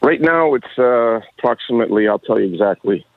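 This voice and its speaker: A man in his sixties calling a radio show, rambling casually in a halting, chummy way. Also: mid-range voice, expressive, no room sound. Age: 60s. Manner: halting, chummy, says "bro" often. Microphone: phone. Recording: dull band-limited call-in line.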